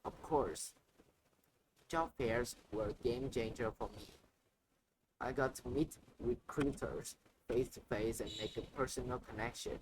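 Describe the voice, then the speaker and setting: A man's voice: medium pitch, unhurried, natural voice. A man voicing Maria, an upbeat woman guest working in tech, with an earnest, slightly raised delivery. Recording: noisy ambient background.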